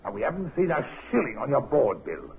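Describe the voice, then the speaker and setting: Gravelly, grumbling innkeeper. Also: male voice, medium pitch, wildly swooping pitch, a little fast, full character voice. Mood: skeptical, pressing. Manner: blunt, colloquial, needling. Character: grumbling, suspicious English innkeeper husband. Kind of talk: 1950s radio drama acting. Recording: muffled, hissy old radio recording.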